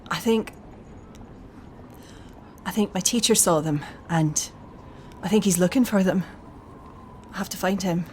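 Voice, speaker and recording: A woman voicing an anxious, earnest young elf scout, hesitant and pleading, in a dark fantasy role-playing game. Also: lowish voice, measured pace, pauses often, slight background noise, lightly acted. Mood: anxious, insistent.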